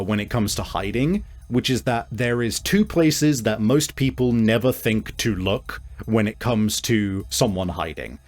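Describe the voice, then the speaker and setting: A man improvising narration as game master of a tabletop game, in a halting, conversational way. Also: fairly low pitch. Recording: music behind the voice. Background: music.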